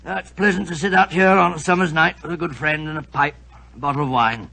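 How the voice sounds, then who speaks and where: Elderly radio-play doctor, bright and husky, avuncular storyteller. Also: male voice, a little high, steady tone, fast, heavily acted. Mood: genial. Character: genial elderly male doctor narrating. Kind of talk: 1940s radio acting.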